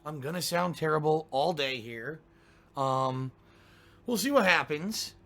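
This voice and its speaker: Streamer, male, down with a cold: hoarse, congested, gravelly, grumbling chat with throat-clearing. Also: a little high, animated, measured pace, bright, forceful tone. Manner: grumbling, ranting, keeps clearing his throat. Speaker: game streamer. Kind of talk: casual pre-stream chat to viewers.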